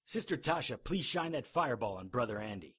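A sardonic, hard-boiled man leads a radio drama played by a male actor. His delivery is very animated in pitch, though his temper stays grim and wry. He sounds like someone who sizes up every scene. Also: brisk, slightly rough, no room sound, studio quality, exaggerated voice. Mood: commanding.

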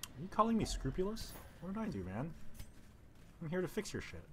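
A man's voice: on the high side, wildly swooping pitch, brisk, a little hiss or hum. A man giving casual, chatty let's play commentary, thinking aloud and joking at himself. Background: game music.

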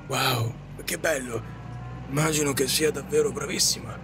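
An actor playing a resigned, regretful taxi driver in an audio drama, speaking politely, reflectively and with some hesitation. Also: male voice, mid-range voice, steady tone, normal speed, over music, lightly acted. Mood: polite, attentive. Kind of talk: Italian audio drama acting.

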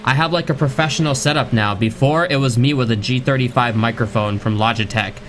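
A man's voice: medium pitch, brisk, slight background noise. A young man streaming a video game, chatting casually and joking with plenty of swearing, recorded on a condenser studio mic. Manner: loud, profane, joking. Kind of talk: casual gaming stream chat and roleplay.

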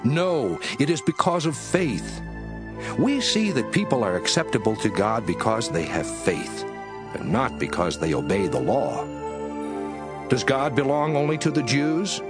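A man reading scripture as a scripted audiobook, with an emphatic, measured and rhetorical delivery. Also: medium pitch, big pitch swings. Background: music under the voice.

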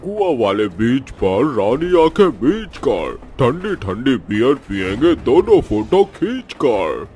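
A man's voice: mid-range voice, very animated, slow pace, hardly any pauses, dark tone, a little hiss or hum. A man voicing a carefree, flirtatious male ghost in a comic horror cartoon, with a teasing, cajoling manner.

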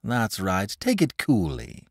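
A man voicing an eager, excitable young village boy in a storybook reading, pleading and high-pitched. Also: slow, no room sound, clean studio sound, exaggerated voice. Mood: excited.

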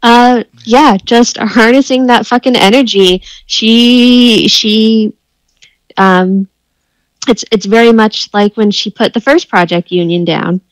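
A woman's voice: on the high side, steady tone, slow, no room sound, low-quality recording. A woman talking casually as a player in a tabletop roleplaying game.

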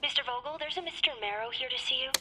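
A woman's voice: high voice, racing, background noise. A woman playing a polite, tactful, hesitant secretary over an intercom in a video game. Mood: polite, uneasy. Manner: formal, tactful. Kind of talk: video game cutscene acting.

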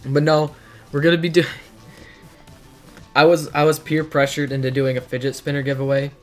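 Hoarse teenage streamer. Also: male voice, a little high, little pitch movement, moderate pace, faint music behind. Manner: rambling, joking, reacts to chat. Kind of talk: casual livestream chat. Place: dim bedroom.

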